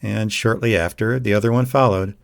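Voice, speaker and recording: A man playing a devoted, gentle boyfriend in an audio roleplay, talking casually and earnestly. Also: lowish voice, fairly even intonation, a little fast, vocal fry. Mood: relaxed, fond. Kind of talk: amateur audio roleplay acting.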